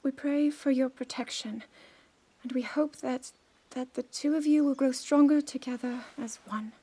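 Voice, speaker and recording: A woman playing a solemn young princess in a video game cutscene, formal and measured, as if reciting a ceremonial blessing. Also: high-pitched, steady tone, warm tone, husky. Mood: solemn, reverent.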